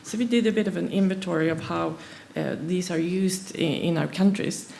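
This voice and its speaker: Halting Swedish-born woman with a gravelly voice. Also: fairly low pitch, darkish tone, a little hiss or hum. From Sweden. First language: not English. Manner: halting, many fillers and restarts. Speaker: conference presenter. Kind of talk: conference presentation.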